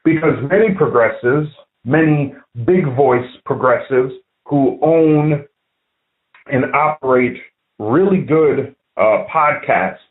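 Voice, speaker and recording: An American man leaving a voicemail as a podcast listener, giving earnest political commentary in deliberate, emphatic phrases over the telephone. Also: medium pitch. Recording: tinny narrow phone-line voicemail.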